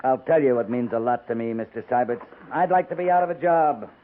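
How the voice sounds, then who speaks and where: An actor playing a weary, principled cavalry captain in 1950s radio drama, clipped and grave in delivery. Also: male voice, mid-range voice, fairly quick, a little hiss or hum, natural voice. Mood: earnest. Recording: muffled, narrow-band 1950s radio recording.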